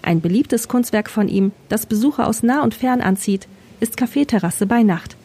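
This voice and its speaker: A woman's voice: a little high, animated, normal speed, mellow, breathy edge. A woman working as a radio reporter, reading scripted narration.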